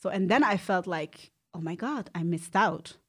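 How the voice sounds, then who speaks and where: A woman's voice: lowish voice, lively intonation, moderate pace, slightly rough. A woman from Belgium with a Belgian Dutch accent and Dutch as her first language, telling her own story casually and animatedly, acting out quoted reactions. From Belgium, born in Rwanda. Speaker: podcast guest sharing personal experience.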